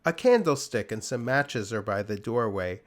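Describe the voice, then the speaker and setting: A man's voice: on the low side, lively intonation, normal speed, reverberant, in a large hall, close to an everyday voice. A man playing a cheeky, unafraid folk-tale trickster in a dramatic storybook reading, teasing and dismissive. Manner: dismissive, teasing, swooping intonation.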